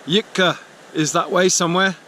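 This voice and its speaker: A man rambles easily to his action camera while out hiking, pausing with fillers and repeating himself. His voice sits a touch high, and a faint wash of outdoor noise runs behind him. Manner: relaxed, thinking aloud with fillers and repeats. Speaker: hiking vlogger. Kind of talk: vlog narration to camera. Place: outdoors on a walk, then sheltering in a barn.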